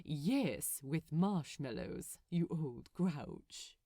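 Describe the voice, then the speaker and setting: A woman's voice: sits low, expressive, extremely slow, mellow, breathy edge, dry recording, clean studio sound, pitched below the performer's own voice, exaggerated voice. A woman voicing a fussy, affectionate, genteel male angel in a storybook reading, with a coaxing manner. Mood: fond exasperation. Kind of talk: acted dialogue in audiobook reading.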